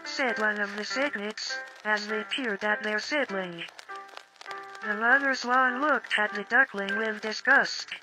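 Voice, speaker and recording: A woman's voice: mid-range voice, takes it slowly, low-quality recording. A woman's text-to-speech voice narrating a scripted storybook tale. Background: music.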